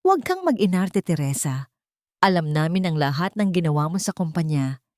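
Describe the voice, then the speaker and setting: Woman narrator playing menacing older matriarch, calm contempt, lowish pitch, audio drama story. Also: normal speed, no echo, studio quality. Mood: cold contempt. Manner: calm but threatening, contemptuous. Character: cold, menacing, haughty older mother-in-law.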